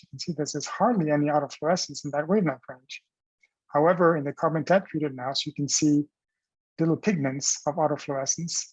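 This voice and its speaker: A man narrates a scientific presentation in a husky, somewhat higher-pitched voice. He explains things step by step with halting pauses mid-phrase. The sound is very dry, with almost no room echo.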